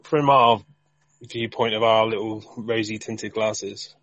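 A man with a somewhat low voice, making slow, thoughtful asides in a conversation. His voice sounds dry and close, with no room echo. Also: fairly even intonation, fairly bright tone, lo-fi sound. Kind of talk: casual discussion.

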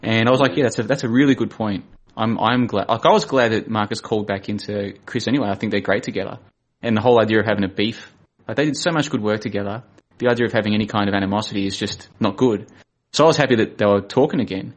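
A man's voice: fairly low pitch, fast. A man hosting a casual chat show, chatty and teasing with his guests. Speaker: host.

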